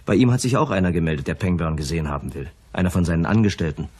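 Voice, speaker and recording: An actor playing a wry, jovial detective agency boss in a crime radio play, with a husky voice. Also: male voice, deep voice, animated, very fast, a little mellow.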